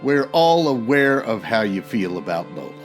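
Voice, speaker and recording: A man playing a wry, deadpan private eye narrating his case in slangy old-time radio detective style. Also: lowish voice, moderate pace. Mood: dry, exasperated. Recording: music under the voice.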